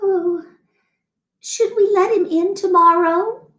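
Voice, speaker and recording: A woman's voice: extremely high pitch, slow pace, fairly bright tone, voice pushed up, heavily acted. A woman voicing an anxious, kindly female mouse in a fretful, pleading way. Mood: worried. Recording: roomy echo.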